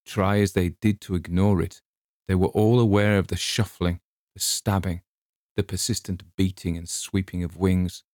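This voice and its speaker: Deep-voiced man narrating a story. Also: flat delivery, moderate pace, a little mellow, dry recording, studio quality. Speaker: audiobook narrator.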